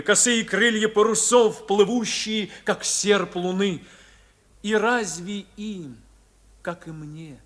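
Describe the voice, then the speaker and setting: An actor playing a reflective young sailor who narrates lyrically and expressively in a 1950s Soviet radio drama. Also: male voice, sits high. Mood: lyrical, wistful. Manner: expressive, literary, wistful. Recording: old 1950s radio recording.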